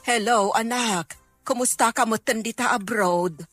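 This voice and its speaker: A woman with an Ilocano accent playing a caring, grateful older village grandmother in an audio drama, gentle and reassuring. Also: on the high side, a little slow, faint music behind. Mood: affectionate, reassuring.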